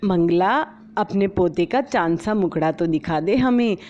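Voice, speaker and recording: Lady doing a fed-up middle-aged mother-in-law, scolds a lot, warm mellow tone. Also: on the low side, unhurried, lightly acted. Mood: sweetly put-on. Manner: scolding, exasperated. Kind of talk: storybook voice acting. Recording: slightly dull lo-fi recording.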